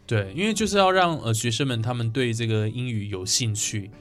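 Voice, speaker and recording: A man's voice: mid-range voice, brisk, fairly bright tone, soft background music. A man with a Taiwanese Mandarin accent hosting a radio interview in a conversational, affirming manner. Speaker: radio host.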